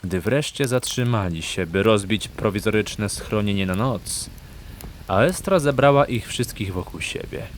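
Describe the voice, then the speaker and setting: A man's voice: lowish voice, expressive, measured pace, slight background noise. A man narrating a fantasy audio drama in a scripted, dramatic storytelling style.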